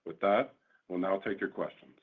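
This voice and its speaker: Male executive, deep and rough-edged, formal scripted delivery of company results through phone-grade audio. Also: normal speed. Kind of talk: scripted corporate statement. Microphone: conference call line. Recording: narrow, phone-like conference audio.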